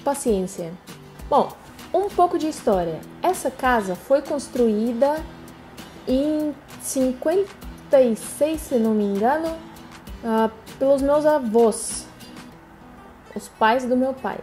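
A woman in her thirties from Russia, speaking with a Russian accent in a chatty, animated vlog, talking to camera from her sofa at home. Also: sits high, slow pace, pauses often, faint music behind. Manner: chatty, animated, laughing asides. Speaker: vlogger. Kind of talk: casual home-tour vlog. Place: living room on a sofa, indoors.

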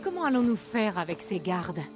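A woman's voice: mid-range voice, very animated, moderate pace, music in the background, close to an everyday voice. An actress playing an embattled young female rebel leader in a dubbed video game, earnest and intense. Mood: resolute.

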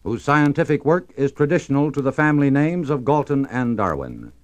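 A man's voice: mid-range voice, lively intonation, a little fast. A man presenting a 1950s radio programme, reading a scripted introduction in a formal announcer's manner.

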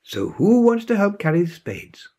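A brisk female schoolteacher from a storybook, played by a man reading aloud. The voice is raised and very animated, instructing the class with a husky edge. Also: high voice, voice pushed up, heavily acted. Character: female schoolteacher organising her pupils.

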